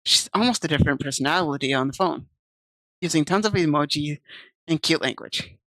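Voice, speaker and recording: A woman's voice: low voice, fairly quick, a bit bright, dry recording, low-quality recording. A woman streaming, reading visual novel text aloud and chatting casually with viewers on a desk mic. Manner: chatty, reads game text aloud and answers chat.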